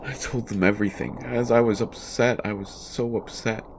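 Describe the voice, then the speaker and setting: A man playing a distraught, haunted writer in prison, telling his horror story in a halting, stammering first-person monologue. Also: lowish voice, fairly even intonation, background noise, natural voice. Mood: distraught, grieving. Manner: halting, stammering, repeating words. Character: haunted, distraught male writer jailed for his son's death.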